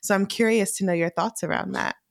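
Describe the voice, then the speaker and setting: A woman's voice: mid-range voice, brisk, no room sound, clean studio sound. A woman co-hosting a podcast, a mother of three, asking her guest a long, earnest question. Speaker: podcast co-host. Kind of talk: interview question.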